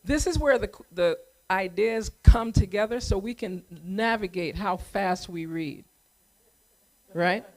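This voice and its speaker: A woman hosting a community remembrance event, speaking publicly in a deliberate, emphatic manner. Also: mid-range voice, a little mellow.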